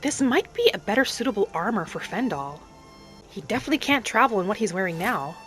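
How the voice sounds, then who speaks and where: A gentle young elf woman, played by a woman gamer, narrates her own fantasy game journey. She is soft-spoken and unhurried, musing to herself at a medium pitch. Music plays clearly behind her voice. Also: animated, close to an everyday voice. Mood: thoughtful. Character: gentle young female wood elf alchemist and forager. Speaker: player of a solo fantasy roleplay video series.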